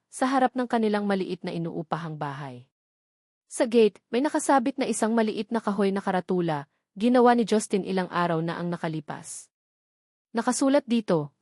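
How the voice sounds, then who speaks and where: A woman narrating a romance and thanking her listeners, in an earnest, gently emotional and expressive way. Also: medium pitch, no echo, clean studio sound. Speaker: storyteller and author. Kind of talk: scripted story narration.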